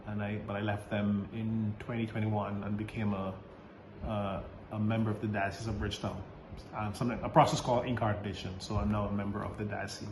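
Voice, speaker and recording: Hesitant priest, low voice. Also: male voice. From Barbados. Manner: hesitant, halting, many fillers and restarts, laughs often. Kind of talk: casual interview answers. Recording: roomy recording with faint background noise.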